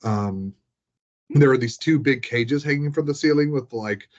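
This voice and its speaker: A man's voice: mid-range voice, a little slow. A man chatting casually as he recounts tabletop game sessions, rambling and peppering his talk with "like". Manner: rambling, frequent "like" as filler. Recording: dull, lo-fi sound.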